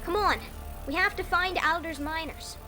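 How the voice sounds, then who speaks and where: An actress plays a little female fairy with a piercingly high voice. She is urgent and bossy, issuing brisk orders in a fantasy audio drama, with music clearly behind her. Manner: urgent, commanding.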